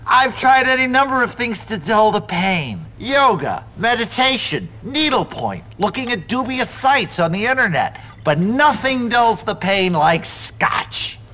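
A man's voice: extremely high pitch, sing-song, unhurried, few pauses, pushed and bright, exaggerated voice. A man playing a washed-up, tipsy English teacher in his thirties in a video game, theatrical and self-pitying. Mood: drunken self-pity. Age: 30s.

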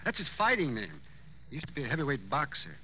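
A man's voice: on the high side, very animated, fast talker, pushed and bright, exaggerated voice, low-quality recording. An actor playing an eager, nervous, folksy man in an old-time radio drama, hesitant and keen to please. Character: eager, nervous, folksy male hotel employee. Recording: old radio recording, faint noise.

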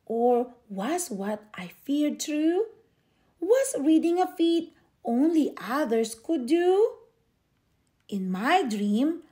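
Female storybook reader, high-pitched and unhurried, theatrical with stretched key words. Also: warm tone. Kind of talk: expressive read-aloud of a children's picture book.

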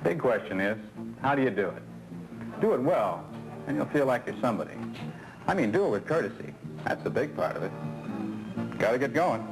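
An actor playing a seasoned, folksy American coworker giving persuasive advice in a 1970s training film. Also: male voice, mid-range voice, sing-song, brisk, gravelly, long pauses, music in the background, poor audio. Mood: earnest, coaxing. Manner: colloquial, persuasive, rhetorical questions. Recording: 1970s film soundtrack, faint noise.

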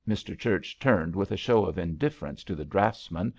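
Male audiobook narrator, gravelly and expressive, literary fiction, slightly muffled recording. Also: sits low, moderate pace. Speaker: audiobook reader.

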